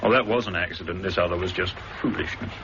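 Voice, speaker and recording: An actor playing Bill, a polite but guarded nautical engineer, in a 1960s radio drama. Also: male voice, deep voice, quick pace, lightly acted. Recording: dull lo-fi archive radio recording, faint hiss.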